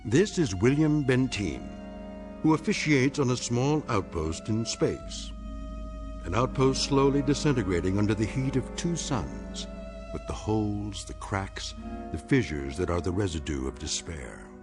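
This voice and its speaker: A grave, all-knowing narrator of eerie science fiction radio drama. His low voice is deliberate and ominous, rising and falling dramatically over prominent music. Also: expressive.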